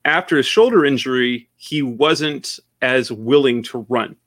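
A man from Arizona, a guest on a podcast, explaining football in long, steady, analytical sentences. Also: medium pitch, unhurried, dry recording, clean studio sound. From Arizona, USA. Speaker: podcast guest, sports site manager. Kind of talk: casual interview answers.

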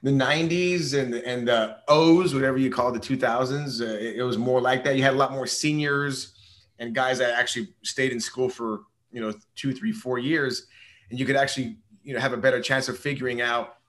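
A hoarse man co-hosting a podcast, chatting casually about sports with lots of fillers and restarts. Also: medium pitch, fairly even intonation, brisk, a bit bright. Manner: rambling, many fillers and restarts. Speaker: podcast co-host.

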